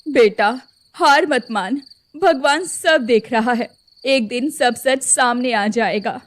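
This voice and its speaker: A woman's voice: a little high, takes it slowly. A woman voicing a loving, encouraging village mother in a story, soothing and pleading. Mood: consoling.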